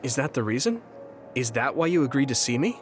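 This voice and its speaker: A man's voice: medium pitch, very animated, brisk, over music, close to an everyday voice. An actor playing an earnest, polite young male soldier in a video game, sincere and questioning, a little hesitant and easily flustered. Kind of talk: English video game voice acting.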